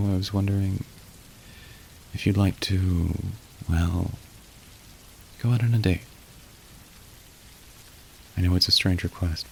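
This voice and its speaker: Weary young male demon, played by a man, ASMR roleplay: deep, soft, confiding delivery over faint rain. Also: vocal fry, a little hiss or hum, poor audio. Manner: soft, hesitant, confiding, gently wry. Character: weary, tender young male demon working a phone line. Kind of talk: intimate ASMR roleplay acting. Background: rain and thunder.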